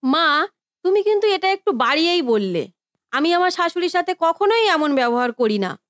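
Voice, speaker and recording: Woman as a high, animated, touchy eldest daughter. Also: moderate pace, no echo, clean studio sound, full character voice. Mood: defensive. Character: touchy, defensive married eldest daughter, easily offended. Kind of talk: Bengali family cartoon voice acting.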